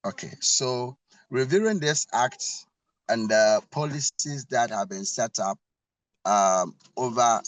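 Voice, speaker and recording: A man's voice: mid-range voice, no echo, low-quality recording. A man giving an earnest prepared talk over a video call, with fillers and repeated words. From Ghana. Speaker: panel speaker.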